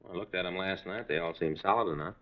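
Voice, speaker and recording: A man's voice: low-pitched, fast, close to an everyday voice. An American man playing an easygoing, plain-spoken police detective in a 1950s radio drama. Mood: plain, helpful. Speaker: detective's partner. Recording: muffled 1950s radio recording.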